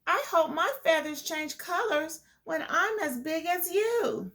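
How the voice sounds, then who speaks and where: A woman's voice: extremely high pitch, lively intonation, slow, fairly bright tone, pitched above the performer's own voice, exaggerated voice. A woman voicing a young flamingo chick in a children's storybook, sweet, innocent and admiring.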